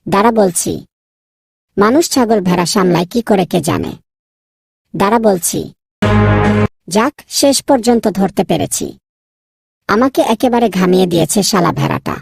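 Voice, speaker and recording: A woman voicing a naive, proud young scholar son in a cartoon, easily exasperated, with a husky, creaky voice. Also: mid-range voice, normal speed, long pauses, no echo. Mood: grumbling. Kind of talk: cartoon dubbing.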